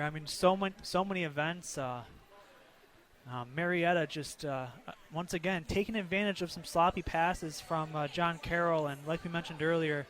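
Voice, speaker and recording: A man working as a radio color commentator, analysing a live basketball game in a conversational, somewhat halting way. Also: a little high, fairly bright tone. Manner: halting, heavy with ums and uhs. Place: indoor basketball arena.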